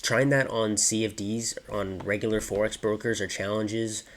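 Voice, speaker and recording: A man's voice: on the low side, normal speed, a little hiss or hum. Nasal, congested young man vlogging. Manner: relaxed, many ums and you-knows. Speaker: trading vlogger. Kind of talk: casual screen-share walkthrough.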